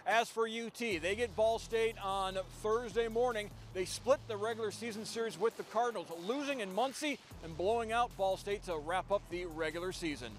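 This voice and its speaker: High-voiced male sports reporter. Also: slight background noise. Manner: brisk, even newscast delivery.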